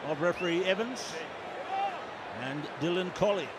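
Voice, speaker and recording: A man with a high-pitched voice calls a rugby sevens match play by play. He moves briskly from name to name as the ball travels. Heavy stadium noise sits loud under his commentary. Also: over a noisy crowd. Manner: brisk play-by-play, calling names as the action unfolds.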